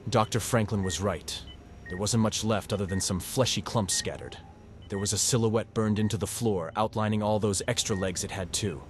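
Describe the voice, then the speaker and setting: A man's voice: on the low side, normal speed, slight background noise, natural voice. A man playing a shaken young medical student, narrating and acting in a horror audio drama in an earnest, somber way. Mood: grave. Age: young adult.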